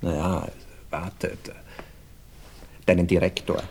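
A vain, lovesick writer in a radio play, with a low voice. He is hesitant and pleading, repeats himself and can get stubborn, over faint background noise. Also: level tone, takes it slowly, a little mellow. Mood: indulgent, unsure. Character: vain, lovesick playwright, eager and easily wounded lover. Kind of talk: German-language radio play acting.

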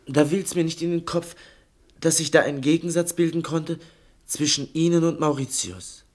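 Young, earnest questioner male actor, 1960s radio drama: lightish pitch, hesitant, respectful, insistent. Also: moderate pace, lightly acted. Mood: puzzled, insistent. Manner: tentative, respectful, probing. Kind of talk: 1960s German radio play acting.

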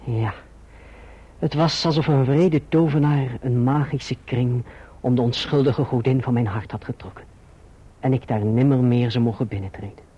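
An actor playing an earnest young gentleman who tells his own story in formal, measured language in a radio drama. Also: mid-range voice, steady tone, husky, natural voice. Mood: reflective, wistful. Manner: formal, measured literary diction. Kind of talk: literary radio drama narration and dialogue. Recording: muffled, dull old radio drama recording.

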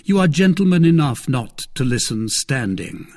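A man voicing a shrewd, commanding cardinal in a novel, formal and measured, persuasive with a condescending edge. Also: medium pitch, sing-song, darkish tone, voice pushed up, natural voice. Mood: grand, courteous. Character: grand, shrewd cardinal, commanding male statesman, persuasive and disdainful.

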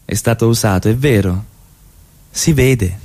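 A man's voice: fairly low pitch, unhurried, darkish tone. A man playing a jealous, mocking, menacing middle-class husband in radio theatre, with a probing, sardonic delivery. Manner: probing, sardonic, swinging in intensity.

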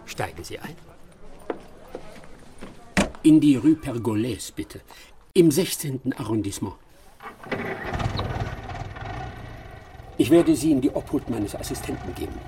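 An actor playing a self-assured, pompous English master detective in a radio drama, speaking formally and emphatically. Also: male voice, mid-range voice, lively intonation, moderate pace, pauses often, background noise, close to an everyday voice. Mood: triumphant.

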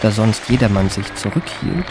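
An actor playing an elegant, self-admiring gentleman rogue who narrates his memoirs in a radio play with ornate, deliberate phrasing. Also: on the low side, expressive, crowd noise, lightly acted. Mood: absorbed, admiring. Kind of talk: scripted first-person radio play narration. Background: music.

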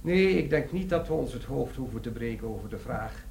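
An officious middle-aged manager with an animated, swooping delivery. He is formal and condescending, in an echoey radio-drama room. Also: medium pitch, a little fast, creaky. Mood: dismissive. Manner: formal, condescending, dryly polite. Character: officious, dry, sceptical middle-aged male company boss. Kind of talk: comic crime radio play acting. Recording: echoey room, faintly noisy radio recording.

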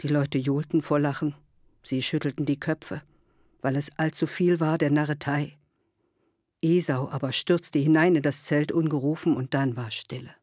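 A man's voice: a little high, fairly even intonation, normal speed, a little mellow, no echo, studio quality, close to an everyday voice. A man delivering scripted literary narration as a narrator in a radio drama.

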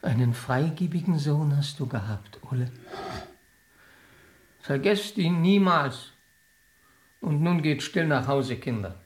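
A paternal, solemn village teacher in a radio play. His male voice swings widely in pitch with an air of command. The room around him echoes clearly. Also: expressive, slow, long pauses. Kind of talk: German radio drama acting.

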